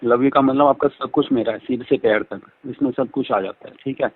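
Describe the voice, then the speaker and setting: A man's voice: mid-range voice, normal speed, slightly rough, no room sound. A man speaking effusively and repetitively in a flirtatious, talkative conversation over a phone line. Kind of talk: flirtatious phone conversation in Hindi mixed with English. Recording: band-limited phone call audio.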